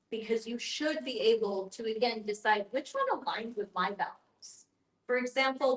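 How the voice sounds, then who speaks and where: A woman facilitating a group discussion in an explanatory way, with a measured, encouraging manner. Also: on the high side, fairly bright tone. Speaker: guest facilitator and end-of-life educator. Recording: echoey room sound.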